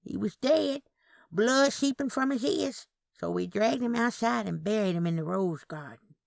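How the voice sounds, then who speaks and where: A woman's voice: fairly high pitch, lively intonation, moderate pace, gravelly, no echo, clean studio sound, voice pushed up. A woman voicing a tiny, scornful twin aunt in her eighties, blunt and agitated, in a Southern Gothic story. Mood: grim, forceful. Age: 80s.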